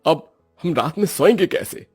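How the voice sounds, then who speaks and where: A man voicing an indignant, emphatic young husband with a husky voice in a moral story. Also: fairly high pitch, animated, normal speed, darkish tone, faint music behind. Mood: exasperated. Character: exasperated young husband, scolding yet protective. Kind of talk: dramatized Hindi moral story dialogue.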